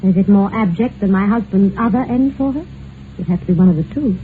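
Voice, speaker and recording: An actress in an old-time radio drama playing an excitable upper-class society lady with a dramatic, emotional manner. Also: medium pitch, a little fast, lightly acted. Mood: prying. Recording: tinny, noisy old radio recording.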